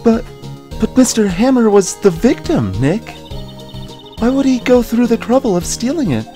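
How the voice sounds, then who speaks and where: A woman voicing a perky, curious, excitable young female legal assistant in a video game. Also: on the high side, normal speed, soft background music, natural voice. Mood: puzzled. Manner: excitable, wide-eyed questioning. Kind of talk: fan voiced visual novel game dub.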